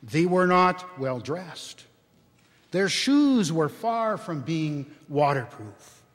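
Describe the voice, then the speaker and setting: Slow, theatrical male storyteller, reverberant church. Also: a little high. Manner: theatrical, expressive. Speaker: dramatic reader. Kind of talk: scripted storybook narration before an audience. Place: inside a church, live reading. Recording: church hall with long reverb.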